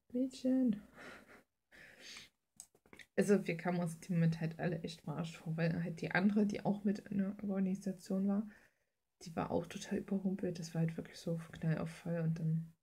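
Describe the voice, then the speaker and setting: A woman's voice: fairly low pitch, gravelly, dark tone, husky. A woman chatting casually and informally as she draws, rambling in slangy language and thinking aloud. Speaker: artist hosting a drawing video. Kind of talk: casual rambling chat while drawing. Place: indoors at a drawing desk.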